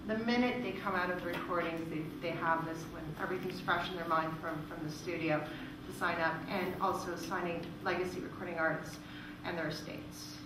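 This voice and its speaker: Female presenter, explaining informally in Q&A; picked up from a distance in a highly reverberant room. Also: fairly even intonation, brisk, hardly any pauses. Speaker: presenter from a music royalties organisation. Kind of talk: presentation with audience questions. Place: indoor presentation room with audience. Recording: echoey room, distant mic, noisy.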